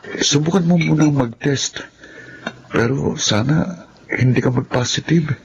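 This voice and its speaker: A man's voice: a little high, unhurried. A man playing a hesitant, evasive young boyfriend with a Filipino accent in a radio drama. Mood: uneasy. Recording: dull lo-fi audio with clear noise.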